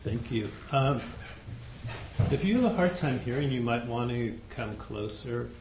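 A soft-spoken man giving a dharma talk to a group, unhurried and hesitant, with many fillers. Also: mid-range voice, expressive, noisy background. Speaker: dharma teacher. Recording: echoey room recording.

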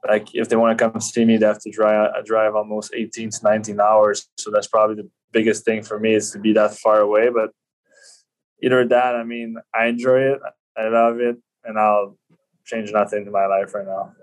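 A man's voice: on the low side, completely flat delivery, moderate pace, rough recording. A man in his twenties from Quebec with a French Canadian accent, a native French speaker, chatting casually as an interview guest. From Quebec City, Canada. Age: 20s. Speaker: podcast guest, professional hockey player.

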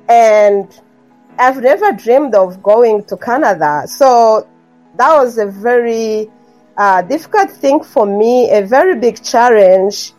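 Woman with a higher voice. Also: darkish tone, poor audio. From Rwanda. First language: Kinyarwanda. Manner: earnest, repeats phrases, frequent ums. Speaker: guest author. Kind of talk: interview conversation.

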